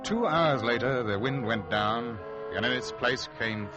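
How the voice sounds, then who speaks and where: A man playing a stern, suspicious ship's captain in an old-time radio drama, with measured, sometimes halting delivery. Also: mid-range voice, music in the background, lightly acted. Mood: grave, recounting. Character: wary, stern male ship's captain, grudgingly bargaining. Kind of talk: old-time radio drama acting and first-person narration. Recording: noisy, muffled vintage radio recording.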